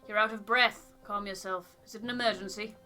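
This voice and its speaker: A woman voicing a reserved, sceptical, businesslike officer in a game, formal and measured. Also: mid-range voice, fairly even intonation, pushed and bright, faint music behind, natural voice. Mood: stern, dismissive.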